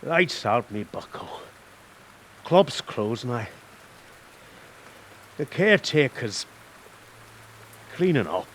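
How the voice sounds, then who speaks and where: An actor playing a bitter, sardonic and frightened passenger in a radio play, with a wry, theatrical manner. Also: male voice, mid-range voice, very animated, slow pace, pauses often, slight background noise. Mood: pained, fading.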